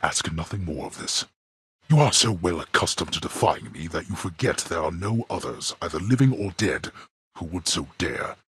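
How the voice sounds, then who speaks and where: Casting: imperious underworld god-father for a game; very husky, rough voice, grand swings in pitch, curt and formal. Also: fairly bright tone, no room sound, exaggerated voice. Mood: formal, measured. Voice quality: gravelly, husky bass. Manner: haughty, curt, commanding, weighty formal diction. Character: stern, imperious god-king of the underworld, gruff old father. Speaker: ruler of the underworld. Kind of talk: formal, archaic video game character acting.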